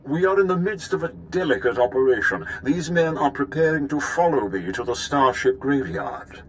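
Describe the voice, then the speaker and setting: An actor playing a ruthless veteran Imperial admiral in a video game, speaking with measured, formal and menacing courtesy. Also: male voice, mid-range voice, lively intonation, a little hiss or hum, lightly acted. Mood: cold menace. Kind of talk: dramatic video game voice acting.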